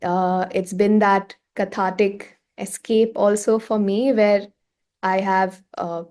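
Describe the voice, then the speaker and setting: Woman, Indian accent, casual interview guest, chatty, expressive, medium pitch, mixes in Hindi phrases. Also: slow. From Raipur, India. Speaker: singer-songwriter, interview guest. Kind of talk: casual conversational interview answers.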